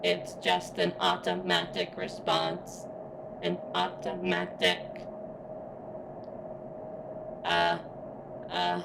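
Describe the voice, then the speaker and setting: An extremely deep, slow-speaking female surveillance robot, acted by a woman for an ASMR roleplay. She is formal and halting, with a vulnerable, flirtatious undertone and a strained edge as if in pain. Gravelly fry runs through the voice, over clearly audible background noise. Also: big pitch swings, exaggerated voice. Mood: strained, embarrassed. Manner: stilted, formal, halting phrasing with pained strain.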